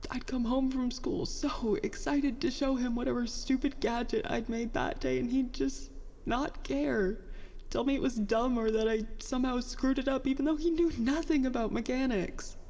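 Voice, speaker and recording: An actress playing a bitter, self-doubting young petty officer in a halting, confessional monologue for a science fiction audio drama. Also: female voice, high-pitched, gravelly, dark tone, big-room sound. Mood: sad, subdued. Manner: halting, conversational, self-deprecating, mildly profane.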